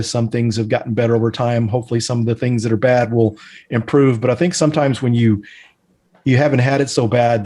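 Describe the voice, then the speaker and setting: A man hosting an interview podcast, chatting in a relaxed, conversational way. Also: mid-range voice. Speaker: podcast host. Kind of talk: casual interview chat.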